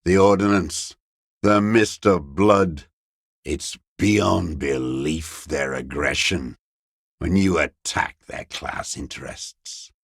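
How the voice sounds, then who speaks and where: An actor playing a bitter, dying old communist deserter in a video game, raspy and contemptuous, given to cursing and ideological scorn. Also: male voice, rumbling low, slow pace, no echo, studio quality, exaggerated voice. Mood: bitter, haunted. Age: older. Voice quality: gravelly, raspy. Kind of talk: dramatic video game voice acting.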